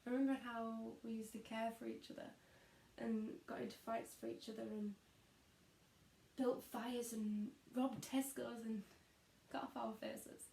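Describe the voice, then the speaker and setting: Creaky-voiced, disillusioned female teacher, acted by a woman. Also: fairly high pitch, fairly even intonation, normal speed, long pauses, mellow, a little hiss or hum. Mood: wistful. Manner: introspective, confiding, swearing. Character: Charlotte, disillusioned, weary young female schoolteacher. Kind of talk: dramatic stage monologue. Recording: echoey room.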